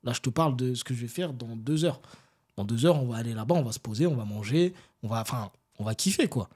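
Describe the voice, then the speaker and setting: A man from France, an interview guest telling stories in casual, animated, slangy talk and often seeking agreement. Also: medium pitch, quick pace, husky. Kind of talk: casual conversational storytelling.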